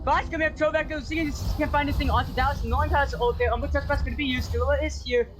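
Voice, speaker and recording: A man casting a live esports match play-by-play, excitable and rapid-fire, his voice going hoarse. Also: very high voice, background noise. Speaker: play-by-play caster.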